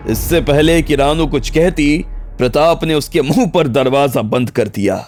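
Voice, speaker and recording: A man's voice: fairly high pitch, moderate pace, a little mellow. A man reading scripted narration for a dramatised audio story. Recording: music bed under the voice.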